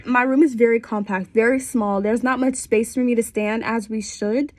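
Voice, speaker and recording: A woman's voice: a little high, steady tone, moderate pace, background noise. Congested young woman telling her story. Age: 20s. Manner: candid and conversational, with wry asides. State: congested from a cold. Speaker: student. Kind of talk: personal story for a class assignment. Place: small bedroom by a window.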